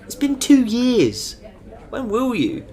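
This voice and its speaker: A man's voice: very high voice, wildly swooping pitch, slow, mellow, heavily acted. An actor playing a stern team leader who gives orders firmly and protects his people. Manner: firm, authoritative, swears when angry.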